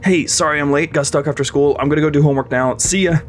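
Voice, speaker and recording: An actor playing an awkward teenage schoolboy in an audio drama, halting and stammering. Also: male voice, on the high side, fairly even intonation, noisy background, close to an everyday voice. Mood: sheepish, nervous. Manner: halting, stammering, mumbled evasions. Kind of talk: scripted audio drama acting.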